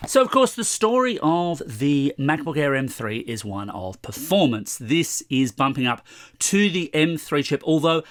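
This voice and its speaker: A slightly hoarse man in his forties giving a chatty, wry tech review to camera from a room at home. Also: fairly high pitch, sing-song, measured pace, no echo, studio quality. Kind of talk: casual product review to camera.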